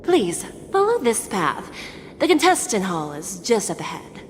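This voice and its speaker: Courteous official with lilting delivery. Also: female voice, medium pitch, huge pitch swings, unhurried, a little hiss or hum. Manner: polite, formal. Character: courteous, formal female official greeting guests. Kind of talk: video game character voice acting. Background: game music.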